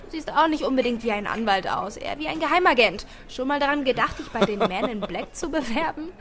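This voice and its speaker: A woman's voice: a little high, expressive, fast, a crowd in the background. A woman voicing a good-humoured, bantering young male musician in an audiobook, with a mock-indignant manner.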